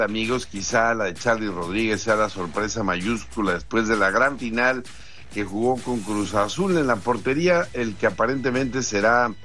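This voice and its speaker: A man with a Mexican accent giving conversational sports news commentary in a measured way, pausing between points. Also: medium pitch, fairly bright tone. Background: music.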